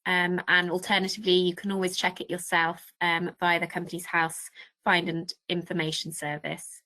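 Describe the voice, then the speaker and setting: A woman with a British accent and a croaky voice, answering audience questions on a webinar in a helpful, explanatory way. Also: fairly low pitch, level tone, normal speed, pushed and bright. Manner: helpful, explanatory, with some ums.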